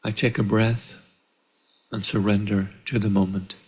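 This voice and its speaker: A man leading a guided meditation in a calm, measured way, with deliberate pauses between short phrases. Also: lowish voice, husky, low-quality recording. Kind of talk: guided meditation, slow and instructional. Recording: live online session.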